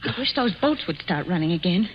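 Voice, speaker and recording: An actress playing a loyal young secretary who pleads earnestly in a 1940s radio drama. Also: female voice, fairly low pitch, animated, fairly quick, lightly acted. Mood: anxious. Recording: muffled 1940s radio broadcast with faint hiss.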